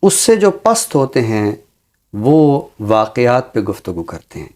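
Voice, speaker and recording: A man speaking in a measured, deliberate and earnest way, explaining his views as a talk show commentator with a literary vocabulary. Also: mid-range voice, big pitch swings, darkish tone.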